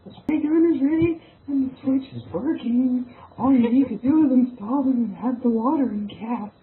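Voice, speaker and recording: Informal group-reading participant, offhand delivery, narrow-band audio with clear noise. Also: high voice, moderate pace. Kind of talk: casual group reading of a script with side remarks. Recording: tinny phone-like line, clear background noise.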